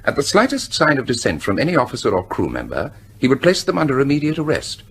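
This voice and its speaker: An actor playing a cold, self-assured weapons scientist with a British accent, speaking precisely and with detachment in a radio drama. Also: male voice, mid-range voice, wildly swooping pitch, fast talker, gravelly, a bit bright, a little hiss or hum, full character voice. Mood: cool, certain.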